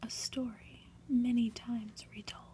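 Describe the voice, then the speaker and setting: Woman, ASMR reader, breathy whisper, fairly high voice, slow pace, soft gentle delivery. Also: level tone, slight background noise. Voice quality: breathy, mostly unvoiced whisper. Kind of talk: whispered ASMR, storybook reading and soft chat.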